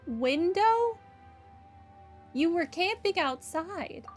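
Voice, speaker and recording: A woman's voice: extremely high pitch, expressive, drawn-out words, faint music behind. A woman giving casual, chatty and wry commentary as she plays a video game. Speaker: gaming streamer.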